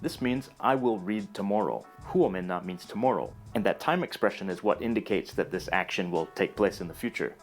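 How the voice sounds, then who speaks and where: A man narrating a scripted educational video in a calm, even, explanatory way. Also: fairly low pitch, brisk, hardly any pauses, faint music behind.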